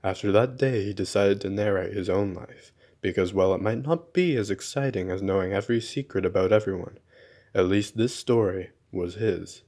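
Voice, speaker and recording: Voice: deep voice, normal speed, a little mellow. A snarky narrator voiced by an actor for a radio play. The delivery is dramatic and exasperated, bickering with the very character being narrated. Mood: wry narrating. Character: exasperated, lonely narrator who mocks the man he follows.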